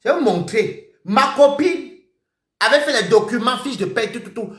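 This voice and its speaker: A man ranting on a live stream, accusing someone directly. He repeats himself and swings between shouting and muttering. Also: very high-pitched, expressive, moderate pace, reverberant. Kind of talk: heated live-stream rant.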